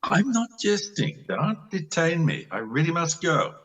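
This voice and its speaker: A man's voice: on the high side, sing-song, unhurried, a bit bright, far from the mic, full character voice. A man playing an exasperated, sardonic country doctor in an amateur play reading, speaking bluntly and mockingly. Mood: insistent.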